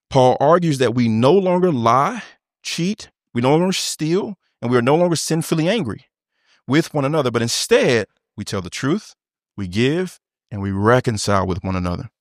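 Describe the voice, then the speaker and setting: A man with a deep voice preaches an expository sermon, walking his congregation through the text step by step. He talks to them directly, asking them questions and adding small asides. His voice comes in close and dry on the church microphone. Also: animated, normal speed, no room sound. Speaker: pastor.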